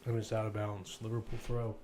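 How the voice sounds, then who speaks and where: A man giving live play-by-play football commentary as a commentator, measured but lifting when attacks build. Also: fairly low pitch, level tone, mellow, slight background noise.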